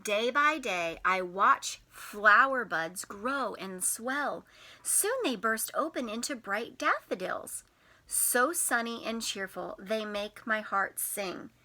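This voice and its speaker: A woman teacher reading a picture book to young children in an expressive, sing-song way, putting questions to them. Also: a little high, takes it slowly. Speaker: teacher and storytime host.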